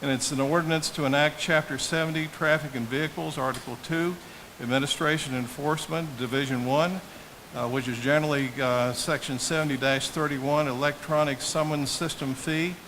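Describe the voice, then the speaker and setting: A man with a halting, deliberate delivery, formally running a town council meeting as mayor. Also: a little high, steady tone, fairly bright tone, rough recording. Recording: hissy public meeting room microphone.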